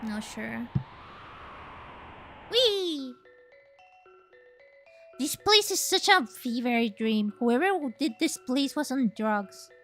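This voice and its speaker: Woman streamer, higher voice, bright tone, chatty and exclamatory, faint game music behind. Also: animated, extremely slow, long pauses. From Chile. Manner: chatty, exclamatory, swears casually. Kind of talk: casual gaming livestream chat.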